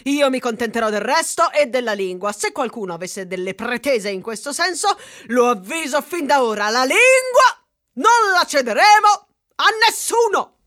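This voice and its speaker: A woman's voice: high voice, very animated, normal speed, a bit bright. A woman playing a blustering, curt military man making a declamatory speech in a theatrical radio play. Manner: declamatory, clipped pronouncements. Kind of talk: theatrical stage-style radio drama.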